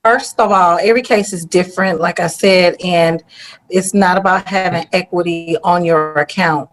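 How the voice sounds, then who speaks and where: A woman banker with a fairly low voice answers on a panel. She speaks in a reassuring way about helping people step by step, and she keeps slipping "you know" into her sentences. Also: fairly even intonation, normal speed, poor audio.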